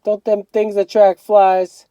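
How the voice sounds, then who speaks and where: Storybook teenage boy, played by a man: streetwise, incredulous, slangy complainer, raised pitch, dry recording. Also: level tone, slow pace, mellow, smooth, no room sound, dull audio. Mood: indignant, incredulous. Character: streetwise, indignant teenage boy, wisecracking older brother. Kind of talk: read-aloud of a children's novel.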